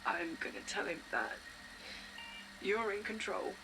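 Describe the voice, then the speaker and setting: A worried caring friend. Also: female voice, medium pitch, sing-song, measured pace, music in the background, poor audio.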